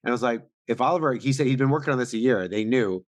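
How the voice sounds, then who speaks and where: A man's voice: medium pitch, animated, racing, no room sound. A man co-hosting a podcast, informally explaining and debating a story, with casual swearing. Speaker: podcast co-host. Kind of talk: casual recap and discussion of a story.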